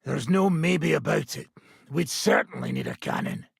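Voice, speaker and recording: A gruff medieval mercenary leader for a video game. His voice is extremely husky and full of gravel, and it swings widely in pitch. He is blunt, sardonic and swears freely, like a soldier hardened by many battles. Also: huge pitch swings, moderate pace, no room sound, studio quality, heavily acted. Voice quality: raspy, gravelly. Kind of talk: video game character dialogue.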